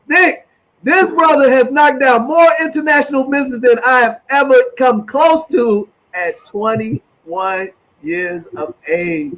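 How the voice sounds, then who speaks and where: A man's voice: very high voice, slow pace, fairly bright tone, rough recording. A man hosting a conversational interview in an effusive way, peppering his talk with "man" and "brother". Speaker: interview host.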